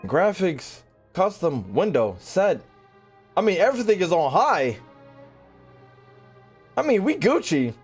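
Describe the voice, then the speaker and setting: A man with a fairly high, very animated voice streams a video game, talking loosely to his chat. His speech is slangy and sweary, often playfully exasperated, with some music behind him. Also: slightly rough. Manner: slangy, profane, mock-exasperated. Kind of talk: casual livestream chat while playing.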